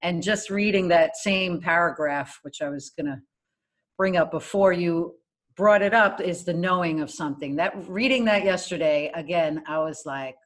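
A woman's voice: fairly low pitch, moderate pace. A woman taking part in a discussion, speaking informally and candidly about her own thoughts. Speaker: discussion participant. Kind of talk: casual chat.

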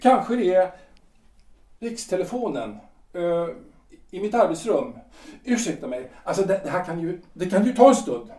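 A man's voice: high-pitched, big pitch swings, long pauses, a little mellow. A man playing a fussy, flustered lawyer in his forties. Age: 40s. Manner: fussy, stammering politeness. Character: flustered middle-aged male lawyer and politician. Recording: echoey room, distant from mic.

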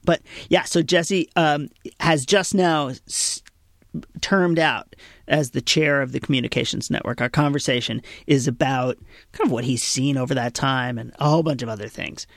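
Chatty, laughing man with a gravelly edge. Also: mid-range voice, normal speed, fairly bright tone, dry recording, studio quality. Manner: chatty, laughs often, restarts sentences. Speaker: podcast co-host. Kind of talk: casual podcast chat.

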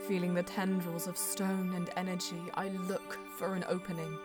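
A woman playing a solemn Eldar bonesinger who narrates her quest in a measured, poetic way in an audio drama. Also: fairly low pitch, little pitch movement, lightly acted. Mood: weary, determined. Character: female Eldar bonesinger, solemn, mystical, easily frustrated seeker. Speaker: narrator and lead character. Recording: music under the voice. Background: background music.

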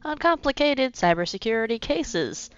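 A woman's voice: fairly high pitch, big pitch swings, normal speed, clean tone, lo-fi sound. A young woman hosting a podcast, narrating a script with a slightly congested voice from a cold and dropping in wry asides. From United States. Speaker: podcast host.

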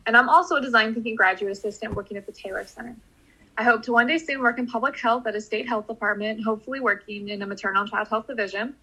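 A young woman from Missouri co-hosts a podcast in a bright, slightly breathy voice. She sounds friendly and conversational, and she uses a few fillers. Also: a little high, fairly even intonation, quick pace, poor audio. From outside St. Louis, Missouri. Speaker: podcast co-host, graduate student. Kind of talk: podcast co-hosting.

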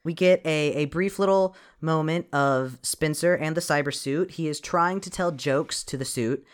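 A man's voice: on the high side, moderate pace, clear, resonant tone. A man co-hosting a podcast, recapping a movie in casual chat, wry and profane, reading from his notes. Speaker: podcast co-host.

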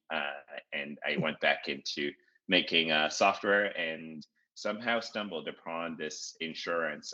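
A man, a startup CEO answering interview questions in a measured way, pausing often on "uh". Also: rumbling low, sharp tone. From Zimbabwe. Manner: measured, with frequent "uh" pauses. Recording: dull lo-fi audio.

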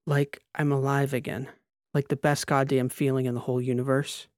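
A man voicing a strained, defiant female lieutenant in an audiobook, answering in halting, clipped phrases. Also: mid-range voice, little pitch movement, darkish tone, no room sound, studio quality, natural voice. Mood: strained, intent. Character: strained, defiant female army lieutenant recalling combat drop.

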